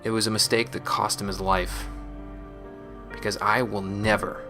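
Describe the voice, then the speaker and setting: A man playing a resolute, guilt-burdened space marine, recording a measured, solemn confessional audio log. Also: on the low side, flat delivery, natural voice. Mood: bitter, resolute. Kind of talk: scripted sci-fi audio log monologue. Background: music.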